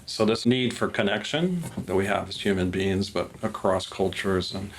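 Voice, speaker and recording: A man's voice: low voice, normal speed, slight background noise. A man speaking in a heartfelt, reflective way in a documentary interview.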